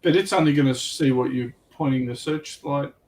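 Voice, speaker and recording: A man's voice: medium pitch, normal speed, a crowd in the background. A man co-hosting a livestream, chatting casually and asking questions.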